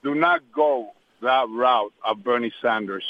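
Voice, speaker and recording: A man's voice: medium pitch, a little slow. A man from Cuba, raised in the United States, calling a radio show and speaking politely and earnestly. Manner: polite, deferential. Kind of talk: earnest phone-in comment. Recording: dull lo-fi radio call-in line.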